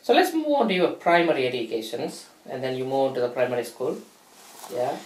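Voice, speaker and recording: A man's voice: mid-range voice, very animated, a little fast. A man interviews a child as an educator, speaking with phrasing and encouraging him often with "great" and "brilliant". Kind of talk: interview questions. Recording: roomy, from a distance.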